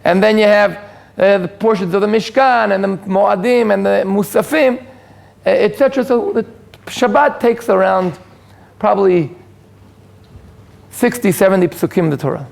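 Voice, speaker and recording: High, gravelly Israeli man lecturing. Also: moderate pace, long pauses. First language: Hebrew. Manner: self-deprecating, joking asides, frequent restarts and fillers. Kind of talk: informal lecture to an audience. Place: community hall with live audience.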